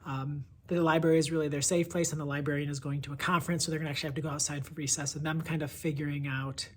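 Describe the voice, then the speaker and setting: A woman's voice: sits low, flat delivery, racing. A woman presenting casual book reviews in an effusive, conversational, enthusiastic way.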